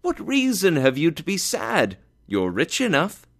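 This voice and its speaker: A man voicing a cheerful, warm-hearted young nephew in a storybook tale, earnest and eager. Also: medium pitch, very animated, moderate pace, natural voice.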